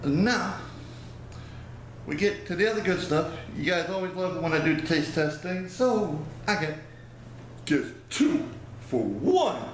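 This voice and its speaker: Middle aged guy rambling into his webcam, kinda high voice, super echoey little room. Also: animated, unhurried, pauses often. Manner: rambling, folksy, loses his words. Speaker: vlogger. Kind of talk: casual product review vlog. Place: small indoor room by a plain wall. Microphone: webcam at close range. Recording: very echoey room, faint hiss.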